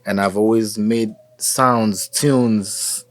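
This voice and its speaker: A man's voice: fairly low pitch, very slow, dry recording. A young Nigerian man with a Nigerian accent, a musician chatting casually as an interview guest and slipping into Pidgin. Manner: relaxed, drops into Pidgin, many "you know"s.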